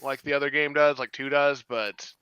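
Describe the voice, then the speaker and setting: A man chatting casually and somewhat haltingly, recorded dry on a slightly dull mic. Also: medium pitch, steady tone, pushed and bright, a little hiss or hum. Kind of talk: casual chat about games.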